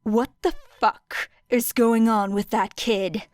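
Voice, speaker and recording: A woman's voice: a little high, fairly even intonation, takes it slowly, darkish tone, no echo, clean studio sound. A woman voicing a stern, commanding female hero in an audiobook, with a harsh, authoritative manner. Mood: cold anger. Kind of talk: audiobook character acting.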